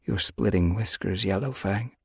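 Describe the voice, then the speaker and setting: A man voicing a wise old female cat in an audiobook story, stern but caring, with measured, admonishing speech. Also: fairly low pitch, vocal fry, slightly rough, no echo, studio quality, voice pushed up.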